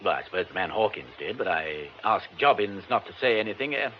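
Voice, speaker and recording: Radio drama male actor: affable, theatrical British thespian, very expressive pitch, self-mocking, slightly muffled. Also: brisk, close to an everyday voice. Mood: rueful, wry. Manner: theatrical, hesitant, wry. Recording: muffled radio broadcast, faint noise.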